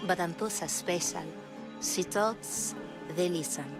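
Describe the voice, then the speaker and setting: Low-voiced, flirtatious streetwise woman. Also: a little slow, music in the background. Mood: knowing, confiding. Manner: sly, teasing. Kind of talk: video game voice acting.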